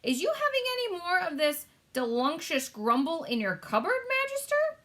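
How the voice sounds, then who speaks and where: Woman putting on a loud, excitable friendly male giant. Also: very high-pitched, normal speed, pitched above the performer's own voice, exaggerated voice. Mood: delighted. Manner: exclaiming in garbled grammar and made-up words.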